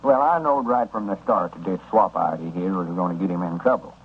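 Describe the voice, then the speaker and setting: An actor playing a folksy rural storekeeper with an Arkansas country drawl in a 1930s radio comedy. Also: male voice, deep voice, a little fast. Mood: resigned, rueful. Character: folksy rural storekeeper, reluctant partner in his friend's schemes. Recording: muffled, noisy 1930s radio recording.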